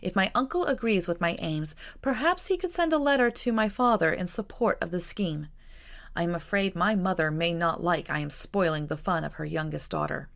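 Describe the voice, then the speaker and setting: A woman's voice: on the low side, moderate pace, vocal fry, fairly bright tone. A woman voicing a headstrong, protective young sister in a Regency storybook, speaking in a firm, formal, insistent way. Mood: formal, earnest.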